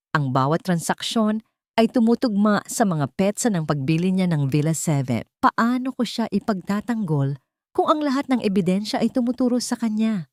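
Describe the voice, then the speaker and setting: A woman's voice: fairly low pitch, a little mellow, no room sound, studio quality. A woman reading scripted dramatic narration as a storyteller.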